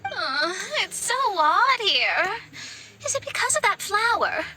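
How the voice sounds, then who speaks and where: A woman's voice: very high voice, very animated, loud music behind the voice, heavily acted, rough recording. A woman voicing a thoughtful, observant young companion in a video game who asks careful questions. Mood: curious. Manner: measured and inquisitive. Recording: game audio with loud background noise.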